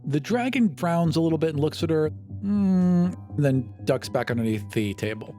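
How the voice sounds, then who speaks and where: A man's voice: a little high, lively intonation, moderate pace, warm tone. A man narrating a tabletop game as game master, describing scenes in a casual, conversational way. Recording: faint music behind the voice.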